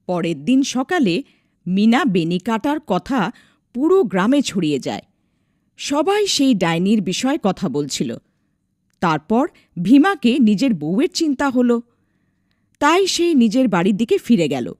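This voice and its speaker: A woman's voice: a little high, very animated, unhurried, pauses often, a little mellow, dry recording, clean studio sound. A woman narrating a scripted dramatic story as a storyteller.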